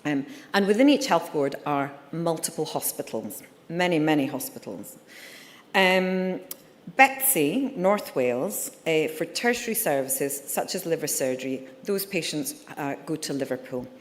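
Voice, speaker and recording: A woman's voice: low voice, lively intonation, measured pace. A middle-aged Scottish woman, a surgeon giving a candid conference talk with frequent ums and uhs. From Scotland. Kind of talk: conference presentation. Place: conference hall. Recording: reverberant hall.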